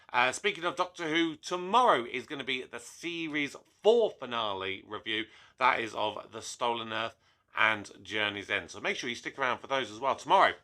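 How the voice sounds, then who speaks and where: A young man chatting to camera in a casual solo review, slightly hoarse from a cold, animated and full of asides. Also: medium pitch. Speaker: YouTube reviewer.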